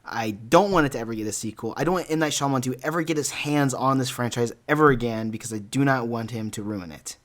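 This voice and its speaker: A man's voice: medium pitch, fast, few pauses. A young American man in casual podcast discussion, giving his opinions as an emphatic fan. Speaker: podcast co-host. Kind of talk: casual panel chat about films and animation.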